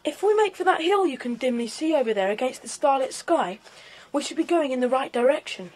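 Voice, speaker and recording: High, lively British schoolboy. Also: female voice, a little fast, husky. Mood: brisk, confident. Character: keen, sensible English schoolboy leader of young adventurers. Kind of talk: children's audio drama acting. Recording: faint noisy 1990s cassette audio drama.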